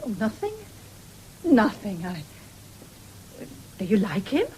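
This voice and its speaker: An actress in a 1970s radio drama playing a stern, stubborn farming widow who is blunt and forthright. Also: female voice, medium pitch, sing-song, slow, warm tone. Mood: subdued, resigned. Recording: noisy old radio drama recording.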